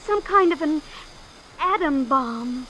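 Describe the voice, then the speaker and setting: A woman's voice: sits high, expressive, slow pace, sharp tone, full character voice. An actress playing a naive, pampered young rich woman, girlish and wistful, in old-time radio drama style. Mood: fretful. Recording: slightly noisy vintage radio broadcast.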